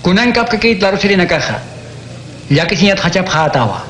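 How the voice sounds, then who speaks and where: A calm preacher teaching. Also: male voice, high-pitched, brisk. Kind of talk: radio drama acting. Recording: echoey room, faint noise.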